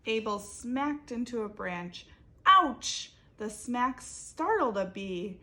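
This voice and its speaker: Slow, lively woman reading a picture book. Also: on the high side. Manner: expressive, pauses to ask the listeners questions. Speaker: reader for children.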